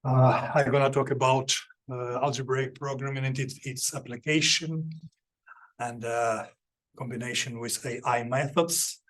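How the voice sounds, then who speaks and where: A man giving an academic seminar talk, halting with many fillers and restarts. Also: mid-range voice, little pitch movement, a bit bright. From Ukraine. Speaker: seminar speaker.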